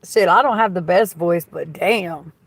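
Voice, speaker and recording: Blunt, slightly raspy woman on a livestream. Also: on the low side, measured pace. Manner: blunt, profane, gossipy. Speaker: livestream host. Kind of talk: casual livestream chat, reading viewer comments.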